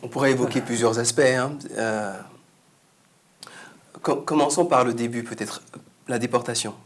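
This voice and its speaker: A man taking part in a Bible study discussion, explaining ideas in a measured way. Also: medium pitch, steady tone, slight background noise. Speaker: discussion participant.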